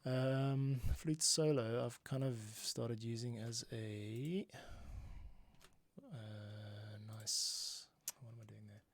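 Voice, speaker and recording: A man composing on a livestream, muttering casual, halting commentary to himself as he works. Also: mid-range voice, steady tone, slight background noise. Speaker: music composer livestreaming his work. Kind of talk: casual thinking-aloud work commentary. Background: instrumental music playback.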